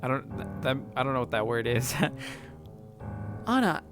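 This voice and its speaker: Man streaming a visual novel over soft music. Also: mid-range voice, flat delivery, quick pace. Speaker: let's play streamer. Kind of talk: reading a visual novel aloud, with casual reactions.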